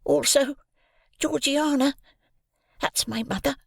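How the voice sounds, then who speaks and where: A man voicing a frightened small boy who pleads timidly and politely, in a very high falsetto. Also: steady tone, takes it slowly, creaky, no room sound, clean studio sound, exaggerated voice.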